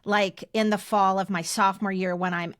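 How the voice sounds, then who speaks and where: An American woman in her fifties shares personal stories as a podcast co-host. Her pitch is medium and her delivery is conversational and emphatic. The recording is dry and close. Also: fairly even intonation, normal speed, a bit bright, dry recording. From United States. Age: 50s. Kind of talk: casual personal storytelling.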